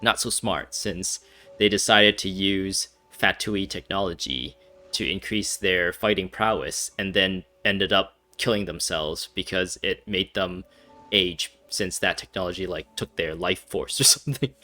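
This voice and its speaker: Young guy joking over a game, kinda low voice, quiet music behind. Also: measured pace. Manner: wry, laughing, nitpicking. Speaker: game streamer. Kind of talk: casual gameplay commentary.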